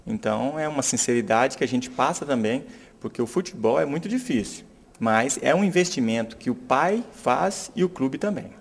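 A man in his thirties earnestly explains his club's work into a handheld microphone. He speaks from an indoor club room at a level, mid pitch. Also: expressive, normal speed, creaky, husky. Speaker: youth football club official. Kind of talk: interview statement. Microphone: handheld interviewer mic.

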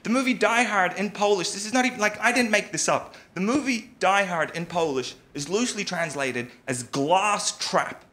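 A man's voice: sits high, moderate pace, few pauses, pushed and bright. A man from the UK doing stand-up comedy in a conversational, filler-heavy way, from a live clip with crowd noise. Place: theatre stage. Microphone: handheld mic.

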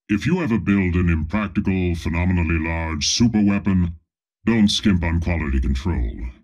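A very deep, husky space tyrant. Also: male voice, exaggerated voice. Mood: pompous, deadpan. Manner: slow, imperious, pompous, with deadpan comic asides. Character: pompous, menacing armored dark space lord with robotic body. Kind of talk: video game character voice lines.

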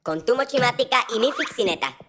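A woman voicing an energetic boyish young leader in a dubbed cartoon, speaking in an upbeat, bossy way. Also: fairly high pitch, brisk, background noise, natural voice. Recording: loud music bed under dialogue.

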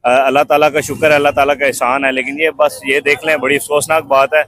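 A man's voice: medium pitch, level tone, quick pace, a bit bright. A young man with a Pakistani accent giving his opinion in a street interview. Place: busy market clothing stall.

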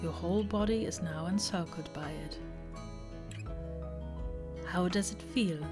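A woman reading a guided meditation, giving slow, deliberate instructions in short phrases. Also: fairly low pitch. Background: relaxing background music.

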